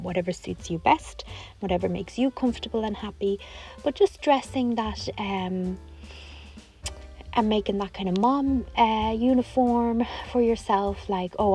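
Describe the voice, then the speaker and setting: A hoarse woman, chatting casually to camera in a rambling way with lots of fillers. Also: mid-range voice, mellow. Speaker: vlogger.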